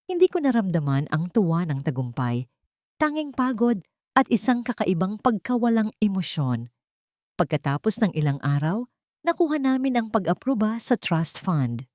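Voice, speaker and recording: A woman's voice: medium pitch, big pitch swings, moderate pace, a little mellow, no room sound, studio quality. A woman with a Filipino accent reading a scripted dramatic story in the first person as a narrator.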